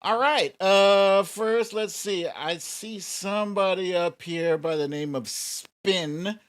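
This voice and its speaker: A man's voice: high voice, clear, resonant tone. A middle-aged American man hosting a casual livestream, chatting informally and playfully with his viewers. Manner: informal, playful, drawn-out shout-outs to viewers. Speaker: livestream show host.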